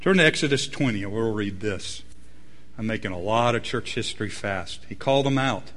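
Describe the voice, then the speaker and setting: A man preaching a sermon, swinging between loud emphatic peaks and low quiet asides. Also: animated, brisk. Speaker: pastor.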